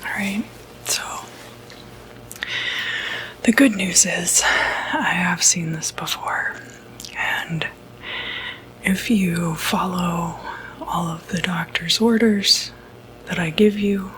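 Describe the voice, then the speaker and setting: A woman whispering breathily in an ASMR medical roleplay, playing a reassuring doctor with a hesitant, gentle bedside manner. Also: fairly low pitch, slow, pauses often, slight background noise, rough recording. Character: calm, reassuring doctor who treats demonic possession.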